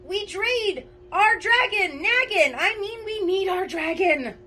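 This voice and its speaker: A woman voicing a tiny, excited storybook creature who muddles words. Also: very high voice, slow pace, a little hiss or hum, pitched above the performer's own voice, heavily acted. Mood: frantic. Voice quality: muddled, word-swapping speech. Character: tiny, excited, tongue-tied island creature.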